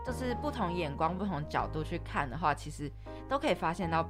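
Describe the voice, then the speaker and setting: A woman's voice: fairly low pitch, expressive, a little fast, faint music behind. A young woman co-hosting a podcast in casual discussion. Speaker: podcast co-host.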